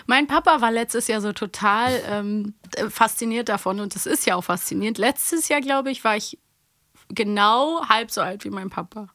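A woman co-hosting a casual podcast, chatting in an animated, giggly storytelling way. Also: a little high, moderate pace, no room sound, studio quality. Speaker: audio play magazine co-host.

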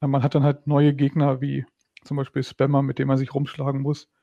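A man talking fast on a tech podcast in a flat, gravelly, rather dark voice. The recording is dry and slightly dull. He explains things in a matter-of-fact way. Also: mid-range voice, dry recording. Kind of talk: casual tech podcast chat.

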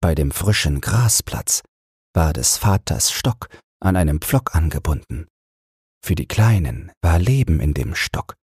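Very breathy, slow, low-voiced man narrating. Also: expressive, no echo, clean studio sound. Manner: gentle, soothing, measured. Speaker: audiobook narrator. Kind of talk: scripted bedtime fairy-tale narration.

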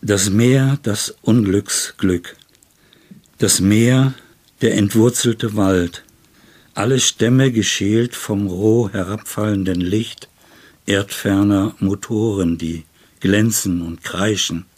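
Older man with a deep, husky voice. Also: slow pace, darkish tone. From Germany. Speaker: poet and interviewee. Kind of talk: reflective interview talk and poetry reading.

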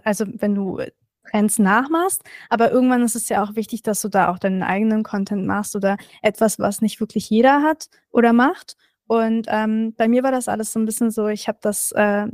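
A woman's voice: on the high side, darkish tone, dry recording, studio quality. Young woman, hesitant and friendly. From Berlin, Germany. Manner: friendly, hesitant, lots of "ähm". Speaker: guest, content creator. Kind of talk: casual interview answers. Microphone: remote recording from another room.

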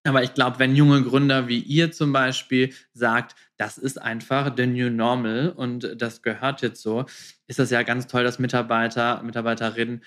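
A man's voice: medium pitch, flat delivery, moderate pace, a bit bright. A man hosting a podcast interview in a conversational way, slipping English phrases into his talk. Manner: mixes English phrases into speech. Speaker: podcast host.

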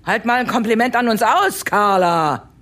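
A woman's voice: on the high side, expressive, moderate pace, a bit bright. An actress playing a stubborn, sarcastic middle-aged customer service woman in an audio drama. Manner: indignant, sarcastic.

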